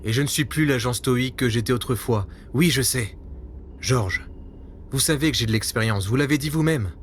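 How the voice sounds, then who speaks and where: A man's voice: mid-range voice, normal speed, close to an everyday voice. Man playing a brooding veteran secret agent, ambient noise. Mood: earnest, defensive. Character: weary, self-doubting veteran male secret agent. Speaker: actor in an audio drama. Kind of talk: French spy audio drama acting.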